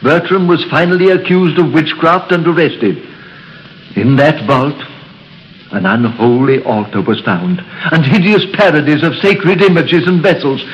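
A man's voice: fairly high pitch, lightly acted. A man playing a grave, solemn church elder. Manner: slow, solemn, measured storytelling. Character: grave local church elder telling legends. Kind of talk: 1930s radio drama acting. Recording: dull, noisy old radio recording.

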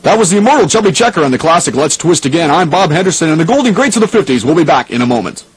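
A man playing a 1980s radio station host, speaking in a measured, formal announcer style. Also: a little high, big pitch swings. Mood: upbeat, announcing. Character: 1980s male radio DJ hosting oldies, turning newsreader. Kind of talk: radio DJ and news bulletin announcing. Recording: noisy radio broadcast recording.

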